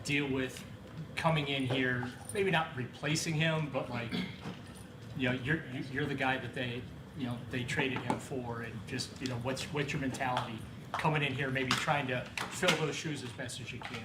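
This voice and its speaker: A man's voice: mid-range voice, steady tone, moderate pace, background noise. A man, a reporter, asking a rambling question at a press conference. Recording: echoey press room, off mic.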